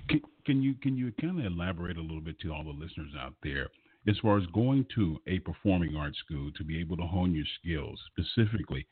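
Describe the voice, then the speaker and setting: A man conducts a radio music interview with a low, husky voice. He is effusive and complimentary toward his guest. The sound is narrow, like a phone line. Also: no room sound.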